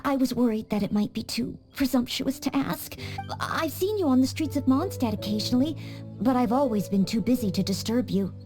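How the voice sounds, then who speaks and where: An actress voicing an earnest, polite young maid training to be a knight in a game cutscene, with small hesitant stammers. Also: female voice, sits high, a little mellow. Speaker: game character.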